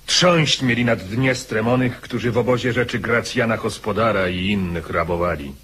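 A man playing an aggrieved army officer in a radio play, raspy and oratorical, lamenting in archaic style. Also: fairly low pitch, normal speed, fairly bright tone. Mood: lamenting, indignant. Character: aggrieved officer chronicling his army's mutiny.

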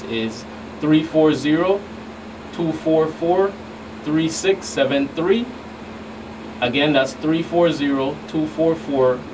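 A middle-aged man answering interview questions as a guest investigator, in a halting way with repeated words. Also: on the high side. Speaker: guest federal investigator on a radio show. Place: small radio studio. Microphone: studio mic on boom arm. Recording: distant mic, clearly noisy room hum.

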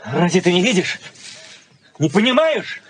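An actor playing an earnest, stubborn young fiancé, speaking in intense short phrases in a radio play. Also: male voice, high-pitched, big pitch swings, unhurried, fairly bright tone, exaggerated voice. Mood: determined. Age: young adult. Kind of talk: Soviet radio play acting. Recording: noisy 1980s radio play recording.

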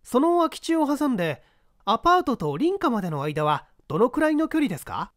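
A man's voice: extremely high pitch, very animated, fairly quick, dry recording, studio quality, voice pushed up. A man voicing an earnest unemployed young man who argues his case with eager intensity in a mystery reading. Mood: questioning.

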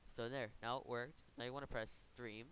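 Guy doing a chill tech walkthrough on computer mic, mid pitch, kinda rambly, some hiss. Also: steady tone, moderate pace, a bit bright. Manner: casual, rambling, self-correcting. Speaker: tutorial host. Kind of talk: informal screen-recorded software tutorial. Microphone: computer microphone. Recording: noticeable background hiss.

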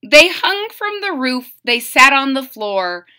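A middle-aged woman reading a children's picture book aloud with deliberate, theatrical phrasing. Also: sits high, big pitch swings, bright, forceful tone. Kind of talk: expressive children's read-aloud. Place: small room at home.

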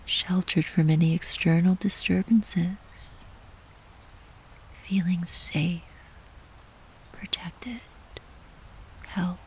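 A woman guiding a sleep meditation in a soft, breathy, whispery voice, gently and soothingly, with long unhurried pauses. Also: lowish voice, fairly even intonation, a little hiss or hum, poor audio. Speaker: yoga nidra meditation guide.

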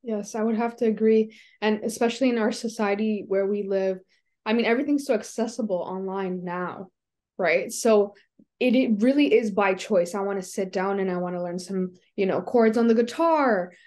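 A woman's voice: medium pitch, normal speed, a little mellow. A young woman interviewer on a video call from home, talking in an agreeable, affirming way. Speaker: interviewer host.

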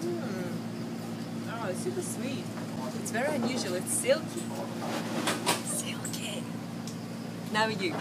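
A woman's voice: a little high, slow, long pauses. A young woman hosting a casual travel vlog, upbeat and laughing easily, on an outdoor terrace. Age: young adult. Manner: bubbly, upbeat, laughs often. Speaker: vlogger host. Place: open hillside terrace outdoors. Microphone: camera mic. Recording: steady outdoor background noise.

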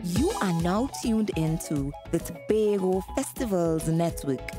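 A woman reads scripted announcements for a programme. Her voice is on the low side, and music plays clearly behind her. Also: lively intonation, measured pace.

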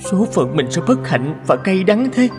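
A man's voice: high voice, very animated, moderate pace. A man voicing a sorrowful, mistreated young orphan in a cartoon fairy tale, earnest and lamenting. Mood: anguished. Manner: lamenting, plaintive. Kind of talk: animated fairy-tale dubbing. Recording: music under the voice.

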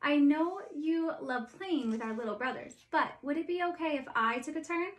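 A woman's voice: high-pitched, lively intonation, moderate pace. A woman voicing a cheerful, affectionate little male snowman from a storybook, eager and bubbly, close to her own reading voice.

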